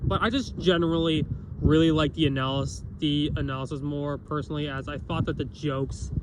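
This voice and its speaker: A man's voice: a little high, steady tone, brisk, background noise. A man with a nasal voice and a cough, giving a casual spoken review and rambling through many restarts and self-corrections. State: has a cough.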